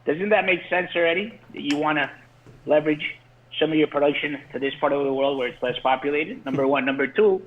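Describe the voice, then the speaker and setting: A man's voice: a little high, brisk. A man who grew up in Colombia, speaking as a guest industry insider, earnest and prone to restarting his words. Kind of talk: explaining in an interview. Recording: phone-line call-in.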